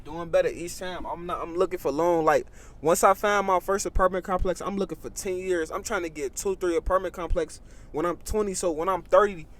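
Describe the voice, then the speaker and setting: A man's voice: high-pitched, steady tone, a little fast, a bit bright. An eighteen-year-old man, talking animatedly in a casual podcast chat, tagging lines with "bro" and "you know what I mean".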